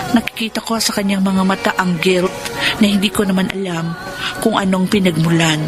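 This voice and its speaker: An earnest young Filipino woman, played by an actress, speaks in a mid-range voice as in a radio drama. She is loving and sincere, and music plays clearly behind her. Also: mid-range voice, close to an everyday voice. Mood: earnest, confiding. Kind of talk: Filipino radio drama acting and letter narration.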